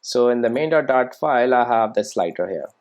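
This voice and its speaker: A man with a medium-pitched voice teaches in a screencast tutorial. His intonation is lively and moves up and down a lot. He explains step by step without a script, often tagging his phrases with short checking questions. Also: brisk. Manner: checks understanding with frequent tag questions. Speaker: programming tutorial instructor.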